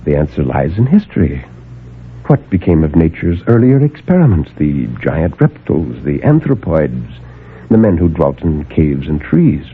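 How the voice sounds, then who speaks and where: An actor playing a calm, superior superhuman guardian, speaking with measured, lofty condescension in a 1950s radio drama. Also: male voice, rumbling low, breathy edge, heavily acted. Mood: calm, condescending. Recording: narrowband old AM radio recording.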